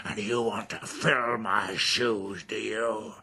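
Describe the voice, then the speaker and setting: An actor playing an older, bitter, dying aristocrat in a radio drama, raspy and contemptuous. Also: male voice, on the low side, steady tone, takes it slowly, sharp tone, exaggerated voice. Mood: mocking, impassioned. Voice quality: raspy, creaky.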